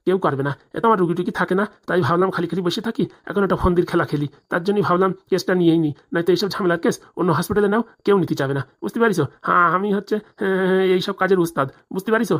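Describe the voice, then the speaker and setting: A woman's voice: lowish voice, lightly acted. A woman voicing a greedy, boastful, crooked male village doctor in a comic cartoon drama, with a brash colloquial manner.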